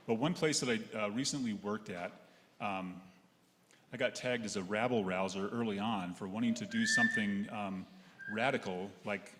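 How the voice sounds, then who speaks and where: A man's voice: fairly low pitch, normal speed. A middle-aged man giving a wry, hesitant personal reflection to a congregation. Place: large echoey indoor church space, seated. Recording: echoey hall with noticeable background noise.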